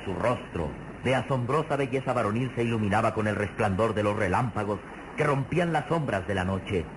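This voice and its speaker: An actor as a grave, suspenseful narrator of a vintage radio serial, florid and dramatic. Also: male voice, lowish voice, fairly quick, noisy background, natural voice. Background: dramatic music.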